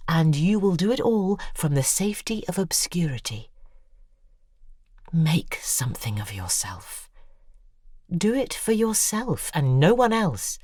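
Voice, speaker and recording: An actress playing a persuasive, bitter middle-aged woman writer in an audio drama, intense and sardonic, with a husky voice. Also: fairly low pitch, sing-song, unhurried, darkish tone, no room sound, clean studio sound, full character voice.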